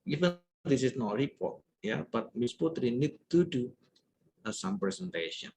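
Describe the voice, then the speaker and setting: A man's voice: mid-range voice, expressive, moderate pace, a little mellow, slight background noise, low-quality recording. Man lecturing, "yeah" fillers. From Indonesia. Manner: repetitive fillers, frequent "yeah" tags. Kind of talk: online lecture.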